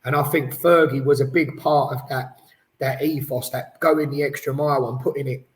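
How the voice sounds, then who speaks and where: A man co-hosting a casual football podcast, talking in a rambling, animated way with many restarts. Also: medium pitch, moderate pace. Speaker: podcast co-host. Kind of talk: casual chat. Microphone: headphones on, studio mic.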